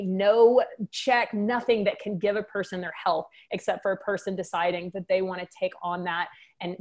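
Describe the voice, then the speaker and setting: A woman's voice: mid-range voice, darkish tone. A woman from Texas with a Texan accent, a med spa owner answering interview questions in an upbeat way with many restarts. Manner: upbeat, laughs often, frequent restarts. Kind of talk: casual interview.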